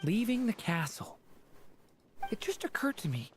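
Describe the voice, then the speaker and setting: Gentle, hesitant young boy. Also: mid-range voice, very animated, a little slow, slight background noise. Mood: thoughtful. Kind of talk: anime fantasy game voice acting.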